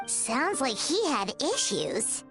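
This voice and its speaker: Tiny, squeaky, childlike sprite companion. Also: female voice, takes it slowly, exaggerated voice. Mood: curious. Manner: exclaiming, animated. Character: small high-pitched sprite companion, chirpy, childlike, curious. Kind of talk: video game character dialogue. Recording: game music behind the voice.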